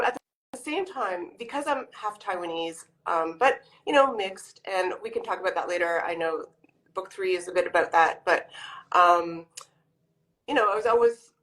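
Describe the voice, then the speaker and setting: A woman's voice: lowish voice, fairly bright tone, low-quality recording. A woman in her forties from Canada, talking in a casual interview over a video call, with lots of ums and restarts. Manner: chatty, many ums and restarts. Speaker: author guest.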